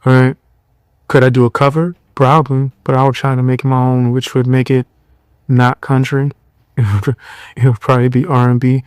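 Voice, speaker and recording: A man's voice: little pitch movement, moderate pace, a little mellow, clean tone, no echo. Blunt, mocking young man, dry sound. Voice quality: lower voice. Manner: mocking, blunt, loose slang. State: tired. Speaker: podcast host. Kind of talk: casual commentary.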